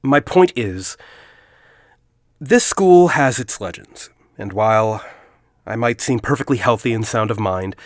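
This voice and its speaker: An actor playing a forty-year-old university headmaster in an audio drama, a secretive academic who speaks in a deliberate, articulate, confiding way. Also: male voice, on the low side, no echo, clean studio sound. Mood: measured, cryptic. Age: 40s. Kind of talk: audio drama dialogue and storytelling.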